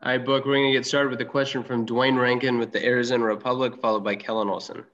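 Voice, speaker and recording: A male moderator quickly announces each next questioner. He talks fast, and his voice comes through a dull, lo-fi line. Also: medium pitch, little pitch movement, fairly bright tone. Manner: brisk announcing. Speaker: press moderator.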